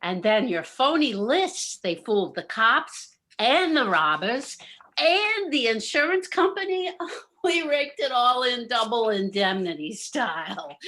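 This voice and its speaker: A woman's voice: a little high, sing-song, slow, bright, forceful tone, heavily acted. A woman playing a scheming, gloating nightclub boss in a campy noir radio play. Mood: smug, satisfied. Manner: theatrical, gloating. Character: scheming brassy female nightclub boss Sal, noir gang leader.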